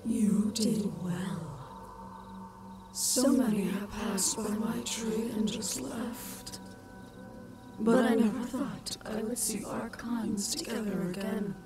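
An ancient female tree spirit, voiced by a woman, speaks in a hushed, unhurried whisper. Her words come very slowly and solemnly. Loud music plays beneath the whole recording. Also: medium pitch. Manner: slow, solemn and blessing. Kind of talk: audio roleplay acting. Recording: loud music bed behind the voice.

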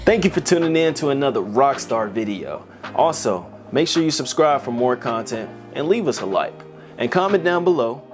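A man's voice: mid-range voice, lively intonation, normal speed. Guy hosting to camera, chatty and playful, lapel mic, bit of music behind. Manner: upbeat, conversational, playful. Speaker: host. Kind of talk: scripted to-camera presenting. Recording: voice with light music underneath.

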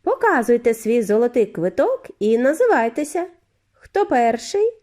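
A woman voicing a giddy, eccentric factory owner in a storybook reading, with a high, clear, flute-like voice and effusive, drawn-out greetings. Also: very animated, mellow. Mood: gushing. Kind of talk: audiobook character voice.

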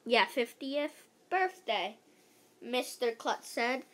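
A female voice giving an animated read-aloud of a children's book, stumbling over words now and then and adding casual asides. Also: a little high, slow.

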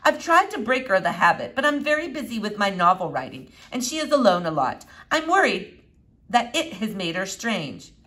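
A woman voicing a fretful, high-strung mother with a shrill voice in a children's audiobook. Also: very animated, moderate pace, fairly bright tone. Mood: worried.

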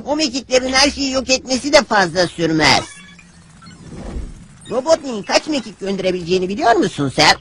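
An actor voicing a cocky young hedgehog hero in a cartoon, brash and punchy. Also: male voice, extremely high pitch, sing-song, brisk, a bit bright, slight background noise, exaggerated voice. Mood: urgent. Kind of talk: cartoon dub acting.

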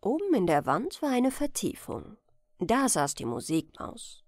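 A woman's voice: mid-range voice, huge pitch swings, unhurried, no room sound, studio quality. A woman narrating a bedtime fairy tale as storyteller, reading expressively and with care.

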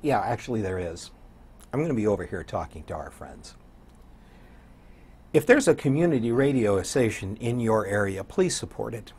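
An older man with an American accent and a hoarse voice, chatting as a radio DJ guest in an interview. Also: fairly low pitch, expressive, normal speed, a little mellow. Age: 60s or older. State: hoarse, getting over an illness. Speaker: community radio program director and morning DJ, guest. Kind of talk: conversational interview. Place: small indoor TV studio.

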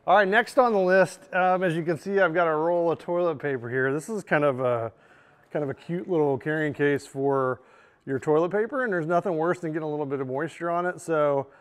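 Man in his thirties, showroom walkaround, chatty, fillers, slightly high voice. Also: clean tone. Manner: chatty, frequent "um" and "right?". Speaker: store product presenter. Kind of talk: casual product walkaround. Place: large bright indoor gear showroom.

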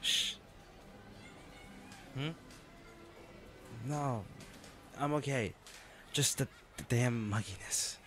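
A man playing a devoted, teasing and self-blaming boyfriend in a romantic audio roleplay, speaking haltingly and tenderly. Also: mid-range voice, fairly bright tone, faint music behind. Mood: playful, chatty. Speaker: voice actor.

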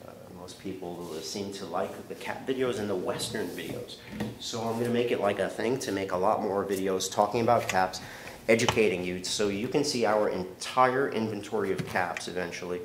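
A man's voice: fairly low pitch, normal speed. A man, stuffy from allergies, chatting casually about caps in his hat shop while trying them on for the camera. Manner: chatty, animated, trying on hats while talking. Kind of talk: casual product talk to camera. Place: large hat shop with wooden shelves, indoors. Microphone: camera on the counter, moves in and out of frame. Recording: roomy shop echo, faint background hum.

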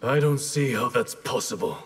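A stern, solemn ninja clan leader in a fighting game. The voice is husky and mid-pitched, and every line is formal and honour-bound. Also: male voice, animated, normal speed. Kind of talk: video game fight intro dialogue.

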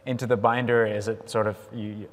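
A man's voice: lowish voice, level tone, a little fast. A man on stage answering audience questions at a tech conference, restarting his sentences often. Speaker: conference speaker. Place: large conference ballroom. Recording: reverberant ballroom.

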